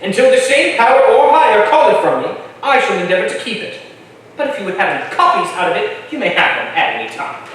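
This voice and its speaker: A high-pitched, earnest colonial townsman played by a male actor speaks with formal, stubborn conviction in a stage drama. The voice is distant in a heavily echoing room, with faint noise. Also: big pitch swings, fast talker, a bit bright. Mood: firm, formal. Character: principled, stubborn colonial man, moderator and record keeper. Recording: very echoey hall, far from mic.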